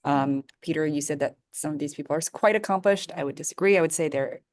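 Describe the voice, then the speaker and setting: Low-voiced chatty woman panelist. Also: quick pace, a little mellow, dry recording, clean studio sound. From New York. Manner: upbeat, chatty, frequent um fillers. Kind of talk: conversational self-introduction. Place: office. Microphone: video call.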